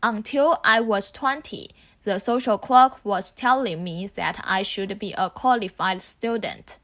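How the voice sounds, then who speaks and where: A woman in her twenties from southeast China, reading a reflective documentary narration in a calm, measured way. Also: mid-range voice. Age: 20s. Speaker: narrator of a student film.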